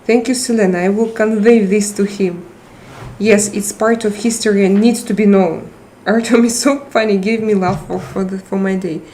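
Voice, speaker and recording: A middle-aged woman with a Russian accent chatting casually on a live stream, halting and restarting often as she searches for words. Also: medium pitch, fairly even intonation, dark tone, slight background noise. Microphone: tablet microphone.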